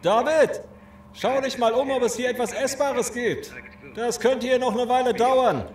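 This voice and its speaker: An actor playing a menacing, condescending gang leader with mock-courteous threats in a German audio drama. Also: male voice, very high-pitched, a little slow, darkish tone. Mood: commanding.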